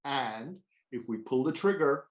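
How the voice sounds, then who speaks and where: A woman's voice: extremely low pitch, animated, measured pace, dull audio. A woman playing an easygoing, flirty film buyer in a conversational table read over a video call. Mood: easy, teasing. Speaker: actor in a screenplay table read. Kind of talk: conversational script reading.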